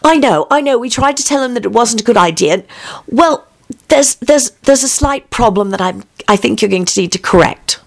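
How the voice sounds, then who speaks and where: A woman's voice: on the high side, expressive, normal speed, gravelly. A woman voicing a nervous, stammering child in an improvised story scene. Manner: hesitant, stammering. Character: nervous child calling for Dad.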